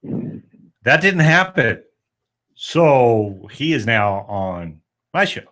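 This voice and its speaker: A man hosting a casual sports talk show, upbeat and quick to laugh, often repeating himself. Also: medium pitch, very animated, fairly bright tone. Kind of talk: casual sports radio chat.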